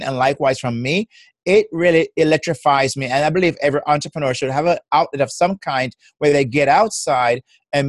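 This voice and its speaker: A man with a Jamaican accent gives reflective, encouraging advice as a guest on an interview show, laughing easily between points. His voice sits at a middle pitch and is recorded dry and close. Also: no echo. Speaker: personal development coach.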